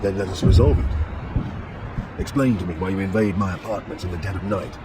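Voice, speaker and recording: An actor playing a composed, commanding inquisitor in a science-fiction game, with measured, formal authority. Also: male voice, sits low, music in the background, natural voice. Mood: guarded. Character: composed, commanding adult inquisitor, shrewd and serious. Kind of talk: dramatic science-fiction game cutscene acting. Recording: loud background noise.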